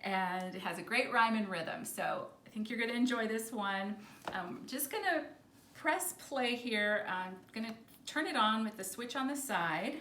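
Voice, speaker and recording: A woman's voice: a little high, animated, measured pace, a bit bright. Lady librarian chatting about kids books, casual, roomy echo, bit of background noise. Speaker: librarian presenting children's books. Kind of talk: casual presentation to camera.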